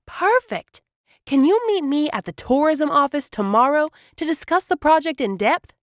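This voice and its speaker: A woman's voice: sits high, animated, moderate pace, dry recording, studio quality. A woman playing an eager, scheming small-town dreamer in a cartoon, chatty and enthusiastic. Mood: persuasive. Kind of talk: animated comedy dialogue.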